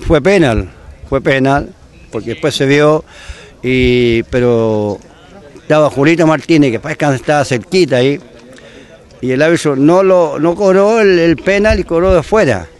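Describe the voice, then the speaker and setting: An older man with a Chilean accent, a former footballer recalling a match in halting, repeated phrases. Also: a little high, darkish tone, clean tone. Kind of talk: archive interview recollection.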